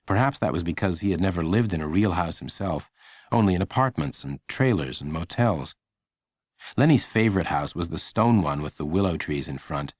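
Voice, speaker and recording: A man narrating a children's audiobook in an expressive, measured storytelling manner. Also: sits low, no room sound.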